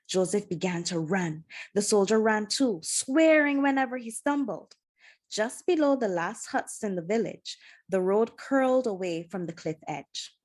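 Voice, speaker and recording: A woman narrates an adventure novel from the page. Her voice sits at an ordinary middle pitch, and she tells the story in an expressive, dramatic storybook style. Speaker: storybook reader. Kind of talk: scripted narration, children's adventure novel read aloud.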